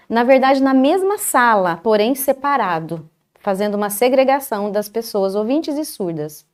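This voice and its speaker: A woman recounting her own life story in a calm, measured way, pausing often between short phrases. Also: fairly high pitch. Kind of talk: first-person life story in a TV interview.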